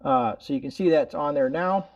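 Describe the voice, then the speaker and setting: A man's voice: on the high side, moderate pace, highs cut off. A man hosting a casual product demonstration indoors at home, explaining things in a rambling, folksy way. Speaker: host of a product review video.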